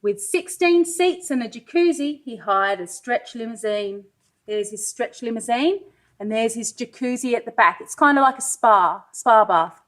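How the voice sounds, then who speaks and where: A woman reading a children's storybook aloud as a pre-school teacher, expressive and sing-song, pausing to ask her young listeners questions. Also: fairly high pitch. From Wales.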